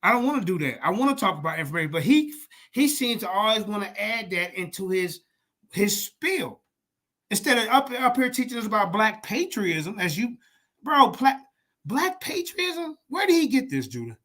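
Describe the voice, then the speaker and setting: A man hosting a heated casual chat, loud, profane and confrontational. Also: high voice, very animated, normal speed.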